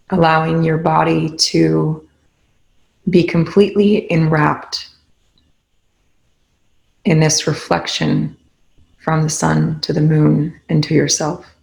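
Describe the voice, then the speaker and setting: A woman leading a guided meditation, speaking in a calm, gently instructive way with unhurried spoken cues. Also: low voice, creaky, low-quality recording.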